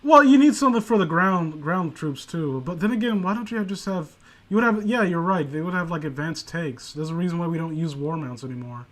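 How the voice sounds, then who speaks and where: A man's voice: a little high, brisk. A man hosting a casual chat before a story reading, ranting and joking with plenty of swearing. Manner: profane, joking, animated banter. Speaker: host of a story reading stream.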